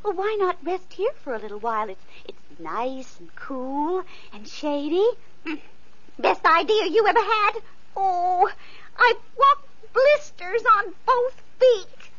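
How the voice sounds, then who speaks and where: A woman playing a gentle, kind young girl in a vintage radio play, speaking sweetly and coaxingly. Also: very high voice, lively intonation, slow pace, heavily acted. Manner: sing-song, sweet and coaxing. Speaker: radio actress. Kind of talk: 1940s radio acting. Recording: muffled old radio recording.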